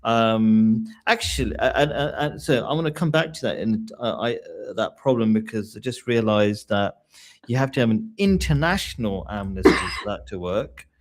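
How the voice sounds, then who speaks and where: A man's voice: fairly low pitch, animated, moderate pace. A man from England hosting a live online discussion, asking questions and often restarting his sentences. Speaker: host.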